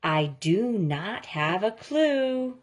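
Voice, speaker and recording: A woman reading a children's picture book aloud, with a deliberate, expressive storytelling delivery. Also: medium pitch. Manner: slow, deliberate, expressive delivery of rhyming lines. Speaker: story reader.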